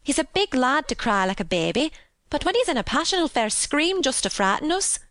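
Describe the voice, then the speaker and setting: A woman's voice: high-pitched, sing-song, fairly quick, fairly bright tone, no room sound, clean studio sound, pitched above the performer's own voice, exaggerated voice. A woman voicing an agitated, plain-spoken young housemaid in an audiobook. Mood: incredulous. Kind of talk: audiobook character voice.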